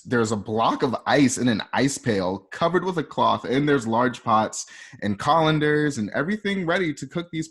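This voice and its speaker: A man's voice: medium pitch, fairly bright tone, husky. A man narrating script scenes informally, in a chatty storytelling manner, as if walking listeners through each shot. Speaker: narrator reading stage directions. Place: at home.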